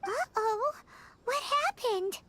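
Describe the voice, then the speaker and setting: Tiny squeaky fairy sidekick. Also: female voice, animated, takes it slowly, pushed and bright, heavily acted. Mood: startled. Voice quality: squeaky, childlike. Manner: refers to self in third person. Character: tiny childlike floating fairy companion, chirpy and curious. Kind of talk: anime game voice acting. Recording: music behind the voice.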